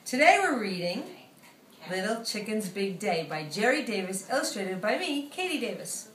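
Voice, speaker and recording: A woman, an author reading her picture book aloud in an animated storytelling style. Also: medium pitch, moderate pace, slight background noise. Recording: echoey room, distant microphone.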